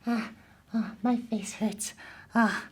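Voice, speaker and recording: A woman voicing an excitable, melodramatic puppet boy in a children's puppet show, stammering and whiny with goofy exaggeration. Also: on the high side, takes it slowly, husky. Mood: strained, faltering.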